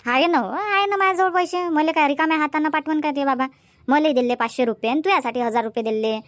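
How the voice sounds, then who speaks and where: Woman playing a high-voiced rural Marathi village granny. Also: quick pace, no room sound, natural voice. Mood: homely, explaining. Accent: Varhadi Marathi from Vidarbha. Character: older rural Marathi mother-in-law and grandmother, homely, instructing. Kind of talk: amateur cartoon comedy dubbing in village dialect.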